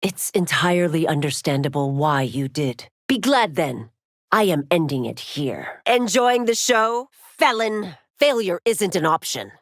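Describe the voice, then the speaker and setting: A woman's voice: fairly low pitch, expressive, measured pace, no room sound, clean studio sound. An actress playing a stern, dutiful warrior woman and law officer in a fighting game, speaking in formal, commanding, clipped declarations. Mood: stern, challenging. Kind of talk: fighting game character voice lines.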